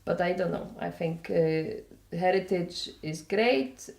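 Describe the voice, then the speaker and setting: A Polish-accented woman with a fairly low voice gives casual interview answers. She rambles conversationally and often checks in with the listener. The room around her is noticeably echoey. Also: slow, creaky, darkish tone. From Poland. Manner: conversational, rambling, checks in with "you know" and "yeah?". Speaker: interviewee, festival organiser.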